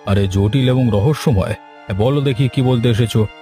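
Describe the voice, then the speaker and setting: A man's voice: mid-range voice, moderate pace, mellow, faint music behind, close to an everyday voice. A man voicing an authoritative village headman in a cartoon, stern and concerned, with theatrical delivery. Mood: welcoming. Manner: theatrical, declarative. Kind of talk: Bengali cartoon voice acting.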